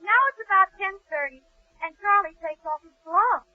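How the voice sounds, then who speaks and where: A lovestruck young woman in a 1940s radio comedy. Her voice is high and earnest, with a dreamy, naive way of telling things. The vintage broadcast sounds muffled and lo-fi. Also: moderate pace, no echo.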